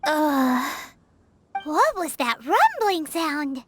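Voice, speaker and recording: A woman voicing a tiny, childlike, greedy and cheeky fairy companion in a video game, with a squeaky, exclaiming delivery. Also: very animated, drawn-out words, over loud music, heavily acted. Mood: surprised, eager. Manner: speaks of herself in third person, exclaiming. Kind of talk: video game character acting.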